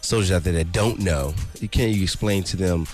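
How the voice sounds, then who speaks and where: An American man co-hosting a radio show, chatting casually and haltingly, repeating words. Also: low-pitched. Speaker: radio co-host. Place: radio studio. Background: music.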